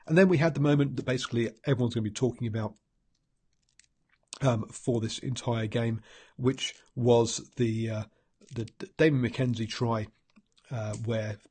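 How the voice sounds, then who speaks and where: A man's voice: mid-range voice, fairly even intonation, normal speed, darkish tone, husky. A man with a New Zealand accent and a stuffy head cold, giving a rambling solo rugby match analysis full of ums and restarts. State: congested from a cold. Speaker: rugby pundit host.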